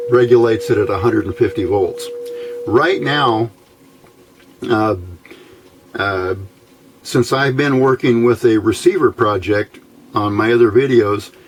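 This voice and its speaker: A man giving an informal, unscripted hands-on electronics demonstration, talking haltingly and thinking aloud as he goes. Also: medium pitch. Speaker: radio hobbyist presenting his build. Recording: clear background noise.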